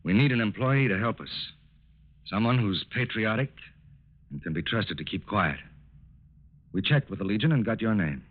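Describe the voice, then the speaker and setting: An actor playing a calm, businesslike FBI agent in a 1940s radio drama, terse, polite and purposeful. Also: male voice, lowish voice, normal speed, pauses often. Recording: tinny narrow-band old radio recording.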